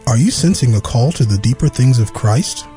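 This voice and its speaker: A man reading a scripted radio promo in a measured, inviting way. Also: mid-range voice, lively intonation, warm tone, soft background music.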